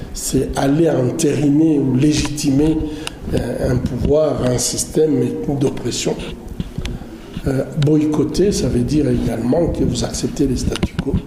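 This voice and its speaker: A man giving political commentary in an interview, speaking deliberately and often searching for words. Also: medium pitch, fairly even intonation. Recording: roomy echo, clearly noisy background.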